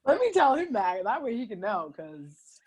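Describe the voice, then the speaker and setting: A woman's voice: fairly high pitch, normal speed, breathy edge. A woman in her twenties, a podcast guest, chatting casually and telling stories with lots of laughter. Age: 20s. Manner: giggly, animated storytelling. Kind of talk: casual chat.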